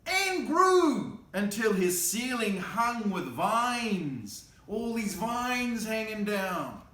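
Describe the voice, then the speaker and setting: High-voiced, slow-paced man reading a storybook. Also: roomy sound, a little hiss or hum. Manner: expressive, playful, exclaiming and commenting as he reads. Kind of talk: animated picture-book read-aloud with casual asides.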